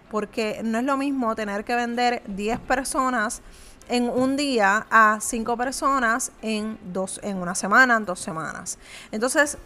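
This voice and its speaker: Earnest woman, higher voice. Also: fairly bright tone. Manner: earnest, conversational, frequent "eh" fillers. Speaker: small business owner and coach. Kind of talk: motivational vlog talk to viewer.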